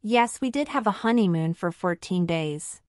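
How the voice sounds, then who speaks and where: A woman's voice: fairly low pitch, expressive, normal speed, a little mellow, no echo, studio quality, close to an everyday voice. A woman playing a polite married citizenship applicant in a scripted practice interview, answering formally and carefully in full sentences. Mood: polite, composed.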